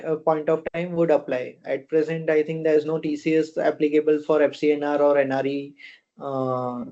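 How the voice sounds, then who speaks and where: A man with an Indian accent giving unscripted answers as a company officer on a conference call, phrasing things haltingly. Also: fairly high pitch, warm tone. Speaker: fund company officer answering investor questions. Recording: dull, lo-fi conference call audio.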